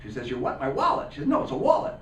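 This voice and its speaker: Teacher in a roomy classroom. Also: male voice, medium pitch, huge pitch swings, very fast, a little hiss or hum. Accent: northeastern Pennsylvania. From northeastern Pennsylvania. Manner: conversational, anecdotal. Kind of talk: classroom discussion. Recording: roomy classroom, mic at a distance.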